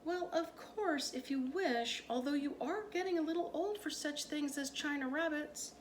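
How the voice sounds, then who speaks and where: A woman's voice: high-pitched, moderate pace, pitched above the performer's own voice, natural voice. Woman as an airy, condescending genteel mother. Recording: noticeable background noise.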